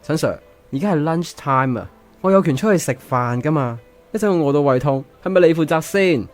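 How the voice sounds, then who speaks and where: A man's voice: a little high, lively intonation, fairly quick, soft background music, natural voice. A man acting in a Cantonese radio drama as a cocky, impulsive seventeen-year-old schoolboy with a Hong Kong accent. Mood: defiant, annoyed.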